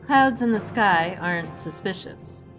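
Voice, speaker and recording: A woman's voice: mid-range voice, lively intonation, measured pace, fairly bright tone, soft background music, low-quality recording. A woman acting a practical swashbuckling heroine in an audio drama, with a dry, sardonic manner.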